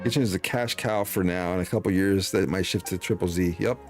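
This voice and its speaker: A man's voice: low-pitched, fairly quick, over music. Casual, joking male game streamer. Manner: joking, informal. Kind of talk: casual chat and commentary over gameplay.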